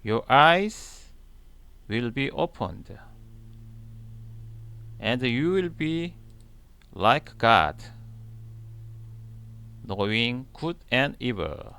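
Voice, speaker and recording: A man reading scripture aloud in a deliberate manner, pausing between short, halting phrases. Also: medium pitch, big pitch swings, vocal fry, pushed and bright, clean tone. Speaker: channel host reading the Bible aloud. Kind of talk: slow scripture read-aloud.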